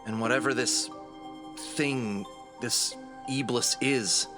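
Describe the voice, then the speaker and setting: A man playing an earnest adventurer who leads a gang through a grim crisis, briefing them seriously and deliberately in a fantasy audio drama. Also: medium pitch, fairly even intonation, music in the background, close to an everyday voice. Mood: grave, urgent.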